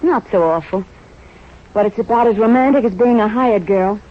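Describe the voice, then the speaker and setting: An actress playing a cheeky, devoted young nurse trainee from North Dakota in an old-time radio drama, speaking in a frank, informal way. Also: female voice, medium pitch, normal speed, lightly acted. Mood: frank, playful. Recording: muffled vintage radio broadcast recording.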